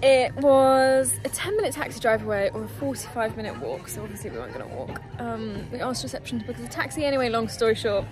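A woman's voice: a little high, animated, fast, hardly any pauses. Young woman vlogging outside, camera at arms length, chatty, music over it. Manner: chatty, upbeat, talks straight to viewers. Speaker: travel vlogger. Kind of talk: casual vlog narration to camera. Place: outdoors in a town and on a rooftop terrace. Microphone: handheld camera at arm's length. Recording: music playing under the voice.

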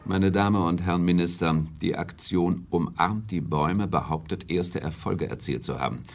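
Authoritative prime minister, very low pitch, creaky voice, deliberate formal delivery, radio play. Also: male voice, music in the background. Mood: measured. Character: stern, authoritative older prime minister, defending the economy. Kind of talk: German radio play acting.

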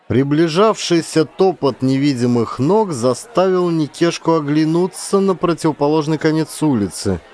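A man's voice: on the high side, a little mellow, no room sound, clean studio sound. A man reading a literary story aloud in a dramatic audiobook style. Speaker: audiobook narrator and actor.

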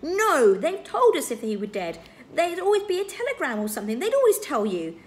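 A woman's voice: medium pitch, lively intonation, quick pace, close to an everyday voice. A woman voicing an anguished, self-doubting young teenage girl in a storybook, with a trembling, emphatic delivery. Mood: earnest, defensive.

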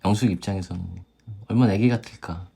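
A young woman co-hosting a casual dating-show review, relaxed and musing, often with a light laugh. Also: a bass voice, quick pace, slightly rough, soft background music.

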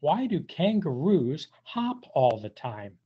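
An older man reading a picture book aloud to young children, with drawn-out emphasis and playful questioning. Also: fairly high pitch, very animated, breathy edge. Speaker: volunteer storybook reader for young children. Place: indoors, on a video call. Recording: dull, muffled webcam audio.

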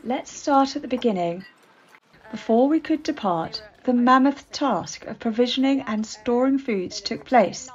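A woman's voice: a little high, animated, a little slow, lo-fi sound, slight background noise. Woman narrating a sailing voyage. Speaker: skipper and narrator. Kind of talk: scripted voice-over narration.

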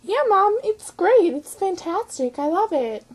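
A woman's voice: very high-pitched, sing-song, a little slow, voice pushed up, full character voice. A woman voicing a gushing, whiny little girl, a doted-on daughter, in a homemade comic doll story.

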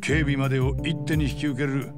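An actor playing a gruff, businesslike middle-aged boss in a video game, talking bluntly in rough masculine speech. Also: male voice, mid-range voice, level tone, brisk, natural voice. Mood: businesslike, assured. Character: gruff, businesslike older male boss of a security firm. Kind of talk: Japanese video game voice acting. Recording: music playing behind the voice. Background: loud background music.

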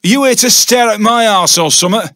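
High-pitched, cocky British criminal. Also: male voice, brisk, a bit bright, dry recording, clean studio sound. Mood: surly sarcasm. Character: cocky, foul-mouthed, menacing British male criminal. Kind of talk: scripted video game character lines.

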